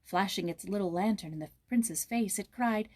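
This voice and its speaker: A woman's voice: medium pitch, normal speed, darkish tone, no room sound. Woman narrating a fairy tale, very dry sound. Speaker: storybook narrator.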